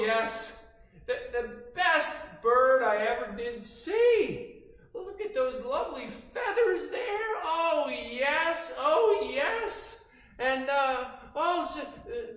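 A sly fox from a children's story, acted out by a man, pours on syrupy praise. The voice is pitched high and swoops widely, stretching words in a sing-song way. It sounds in an echoey room. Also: full character voice. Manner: effusive, sing-song, drawn-out flattery. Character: sly, gushing male fox flatterer.

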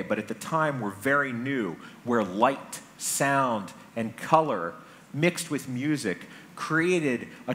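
A middle-aged man speaks to a conference from a stage, in a medium-pitched voice. He lays out his story in deliberate, emphatic phrases, pausing for effect. A headset mic picks him up with some noise behind. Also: fairly bright tone. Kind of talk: prepared public talk, storytelling lecture. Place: large conference hall stage. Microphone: headset microphone. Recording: stage talk with background noise.

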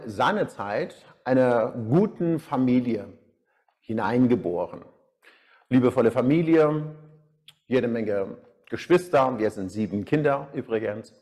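A man's voice: medium pitch, darkish tone. A middle-aged man from Iran, speaking as a coach in an online seminar and telling personal stories. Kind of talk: live-stream seminar talk, personal storytelling. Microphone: small lapel mic.

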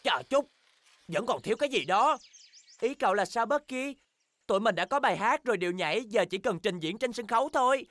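A man's voice: high voice, expressive, fairly quick, fairly bright tone, no echo. A man voicing a cheerful, boastful young bear in a language children's cartoon dub, exclaiming with enthusiasm. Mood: enthusiastic.

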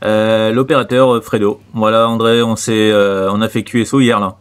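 Smooth, hesitant Frenchman chatting as radio operator. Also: lowish voice, little pitch movement, moderate pace. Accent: French from France. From France. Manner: rambling, hesitant, repetitive, many tag words. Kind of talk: casual radio contact chat.

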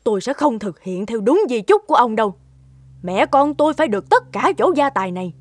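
A woman's voice: a little high, sing-song, fairly quick, dry recording, studio quality. Scolding, scheming cartoon stepmother. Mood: cold, scheming. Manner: sharp, scolding, domineering. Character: scheming, scolding middle-aged stepmother, greedy and domineering. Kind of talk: animated folk tale acting.

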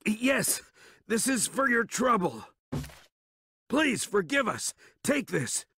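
An actor playing a shady, bullying yet cowardly bartender in an English game dub, husky and excitable. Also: male voice, sits high, slow, dry recording, heavily acted. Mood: cowed pleading.

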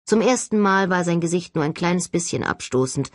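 A woman narrates a novel with an expressive, storytelling delivery. Her pitch moves in lively swings across each phrase. The sound is close and very dry, with no room to it. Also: normal speed, dry recording. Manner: expressive, acts out dialogue. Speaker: audiobook narrator. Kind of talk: scripted first-person novel narration.

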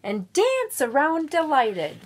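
A middle-aged woman reading a children's picture book aloud at home with expressive, drawn-out storytelling delivery. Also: sits high, slight background noise. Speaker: volunteer guest story reader. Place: small room at home.

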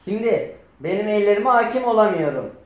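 High-pitched young man, grumbling. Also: animated, brisk, highs cut off. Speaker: performer in a children's comedy sketch. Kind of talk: improvised comic banter. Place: home dining room, indoors. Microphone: camera a few metres away. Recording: echoey room.

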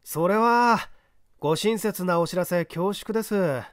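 Man playing a brisk, high-pitched male reporter. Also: clean tone, no room sound, studio quality. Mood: deliberately polite. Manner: curt, businesslike, then feigned politeness. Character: brisk, impatient male newspaper reporter in detective story.

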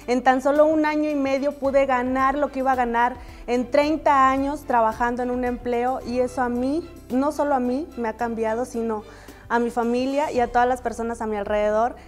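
30s woman, Mexican accent, high and enthusiastic, light music. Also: fairly even intonation, moderate pace. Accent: Mexican Spanish. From Chiapas, Mexico. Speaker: company testimonial speaker. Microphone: lapel mic.

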